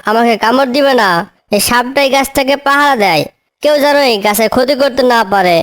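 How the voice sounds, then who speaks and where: High-voiced, bossy eagle uncle played by a woman. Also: a little fast, close to an everyday voice. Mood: commanding. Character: suspicious, bossy eagle uncle, villain who softens. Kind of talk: children's cartoon story dialogue.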